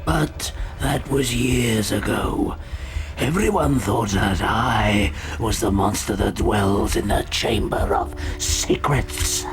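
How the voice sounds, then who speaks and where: A man voicing an ancient giant male spider in a video game, solemn, menacing and gravelly, with a grave, deliberate delivery. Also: fairly low pitch.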